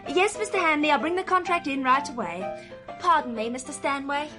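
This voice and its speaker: Actress as high-voiced, attentive secretary over music. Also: female voice, brisk, natural voice. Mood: solicitous, chatty. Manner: solicitous, courteous. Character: polite, chatty, attentive female office secretary. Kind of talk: radio play acting. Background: piano music.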